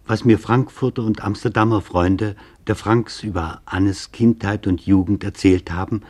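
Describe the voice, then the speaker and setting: A husky man's voice, a little low, delivers scripted documentary narration. He is solemn and formal, shaping literary sentences deliberately as a radio presenter. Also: dark tone.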